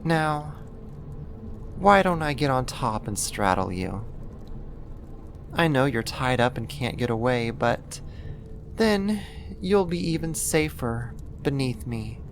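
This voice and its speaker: An actor playing an obsessive, possessive neighbor turned kidnapper in an ASMR roleplay, speaking in a deliberate, intimate, controlling way. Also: medium pitch, expressive, slight background noise. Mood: seductive, possessive.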